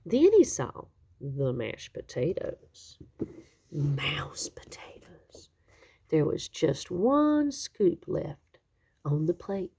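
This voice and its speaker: A woman reading a children's picture book aloud expressively, with dramatic, drawn-out emphasis on key words. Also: low voice, very animated, gravelly, warm tone, breathy edge. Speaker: children's story reader.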